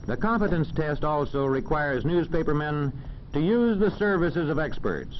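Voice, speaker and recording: An American man narrating a 1940s educational film in a formal, measured, didactic manner. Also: on the high side, lively intonation. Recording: dull, faintly noisy old film soundtrack.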